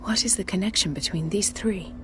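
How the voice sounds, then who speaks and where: Inquisitive, resolute female investigator, played by an actress, mid-pitched, game monologue with music behind. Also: fairly quick, lightly acted. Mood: pondering, resolute. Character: inquisitive, determined woman investigating a mystery. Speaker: player character in a mystery game. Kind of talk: scripted game voice acting, thinking aloud.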